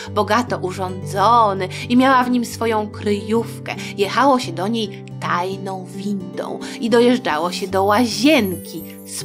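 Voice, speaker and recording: Female history storyteller for young listeners: chatty, playful, unhurried, mid-pitched, soft music bed. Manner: playful, colloquial, expressive emphasis. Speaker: storyteller, host of a history show. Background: faint music.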